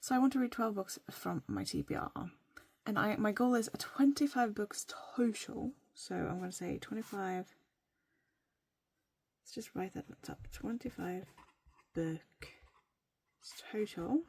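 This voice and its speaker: A woman from Norway, whose first language is Norwegian, talks casually and playfully at a middle pitch. Her voice has a light gravelly fry, and she often drops into a soft whisper as she rambles. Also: a little slow, no echo. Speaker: vlogger. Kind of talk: casual rambling vlog while writing a journal.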